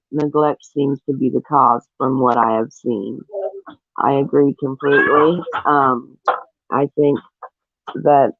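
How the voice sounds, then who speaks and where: A man talks through his opinion slowly and deliberately. His voice sits a little high for a man, and it comes through thin and phone-like, with halting gaps between phrases. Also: no room sound. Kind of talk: casual opinion talk. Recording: muffled, phone-like lo-fi audio.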